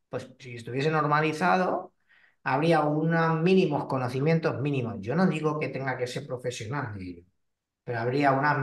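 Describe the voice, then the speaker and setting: A man hosts a relaxed interview in a middling voice. He stops, restarts and fills gaps as he works toward his point. The sound is roomy, and he sits well back from the microphone. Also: medium pitch, far from the mic. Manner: hesitant, many restarts and fillers. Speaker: host and fitness trainer. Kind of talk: casual interview.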